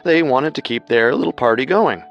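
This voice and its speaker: A man's voice: medium pitch, expressive, normal speed, highs cut off. A man co-hosting a scripted true-crime show as narrator, with music underneath. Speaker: co-host and narrator.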